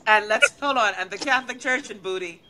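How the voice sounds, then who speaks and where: A woman's voice: mid-range voice, a little fast, crowd noise, rough recording. A woman co-hosting a casual podcast, making playful joking asides. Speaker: podcast co-host.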